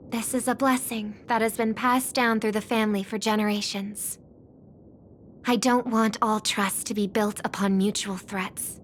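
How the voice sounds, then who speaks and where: A woman's voice: medium pitch, a little hiss or hum, close to an everyday voice. A woman playing an earnest, dignified, cautious young noblewoman in a video game, formal and sincere.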